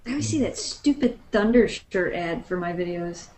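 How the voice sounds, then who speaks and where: A woman's voice: fairly low pitch, expressive, moderate pace, darkish tone, slight background noise. A woman chatting casually as a participant in a group discussion. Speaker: panel participant.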